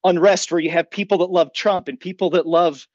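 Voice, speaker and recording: A man's voice: high-pitched, fairly even intonation, brisk, darkish tone, no echo, muffled recording. A man giving long, opinionated explanations as an interview guest, earnest and conversational, often posing rhetorical questions. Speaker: podcast guest, emergency preparedness expert.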